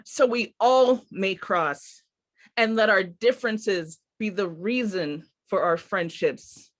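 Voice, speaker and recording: A woman's voice: medium pitch, slow. Woman speaking at a roundtable. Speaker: roundtable panelist reading own poem. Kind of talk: poem recitation and panel remarks.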